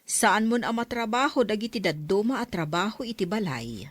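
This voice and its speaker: A woman's voice: mid-range voice, animated, moderate pace, no room sound, close to an everyday voice. An actress playing a tender, concerned middle-aged mother in an audio drama, with an Ilocano Filipino accent.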